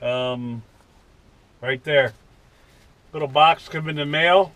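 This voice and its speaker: An American man in his fifties talking in a folksy, unhurried way in a casual vlog from a truck cab. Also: a little high, a bit bright, no room sound. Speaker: vlogger. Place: inside a parked truck cab.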